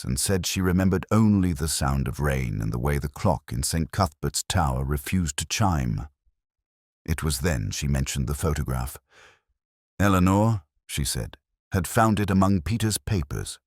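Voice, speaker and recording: A man plays a brooding, middle-aged police inspector recounting his case in an audio drama. His voice is extremely low, with lively rises and falls within a measured, reflective delivery. He sounds weary and melancholic, recorded dry with no room around him. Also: dry recording. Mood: somber, reflective. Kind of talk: scripted first-person detective narration.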